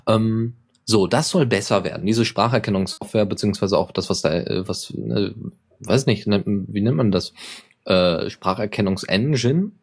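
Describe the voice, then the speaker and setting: A man's voice: on the low side, normal speed, creaky, a little mellow, slightly rough. A man hosting a podcast, chatting casually through tech news, rambling with many fillers and self-corrections. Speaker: podcast host.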